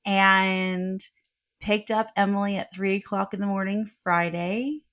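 A middle-aged woman co-hosting a casual podcast from the floor of her bedroom, telling stories in an animated, joking way. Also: mid-range voice, unhurried. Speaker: podcast co-host. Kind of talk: casual chat. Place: bedroom in a new home, sitting on the floor.

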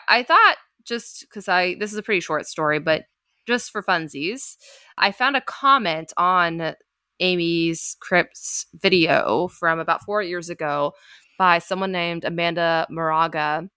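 A chatty woman hosts a casual storytelling podcast. She talks in an animated, loose way, full of filler words and playful sound effects. Her voice sits a little low but comes across bright and forceful, recorded close and dry. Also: a little slow, clear, resonant tone, no room sound. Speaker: podcast host.

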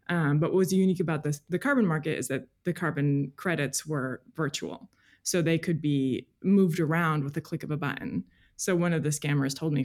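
A woman journalist speaking as an interview guest, explaining things in a measured, detailed, conversational way. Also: low-pitched, a little mellow. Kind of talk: conversational interview, recounting reporting.

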